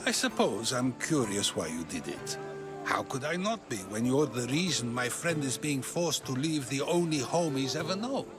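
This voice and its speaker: An actor playing a probing, articulate ancient Athenian philosopher in a video game, musing and questioning. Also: male voice, mid-range voice, big pitch swings, moderate pace, natural voice. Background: game music.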